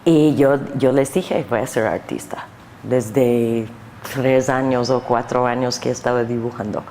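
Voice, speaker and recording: An older American woman with a native English accent, answering interview questions in halting Spanish mixed with English. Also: extremely low pitch, fairly even intonation, mellow. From United States. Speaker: guest artist. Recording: long reverb, faint noise.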